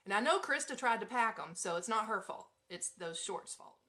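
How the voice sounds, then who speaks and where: A woman with a Southern US accent hosting a live show from home, chatting to listeners in a playful, folksy, expressive way. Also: mid-range voice, moderate pace. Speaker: radio show host. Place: at home in an apartment.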